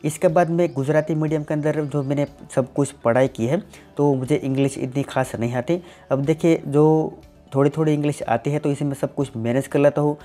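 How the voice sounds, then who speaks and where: A man, answers viewer questions on his tech channel in a plain, explanatory way, leaning on "definitely" and "simply". His voice is warm and mellow. Faint music plays underneath. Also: fairly high pitch, steady tone, fairly quick. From Gujarat, India. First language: Gujarati.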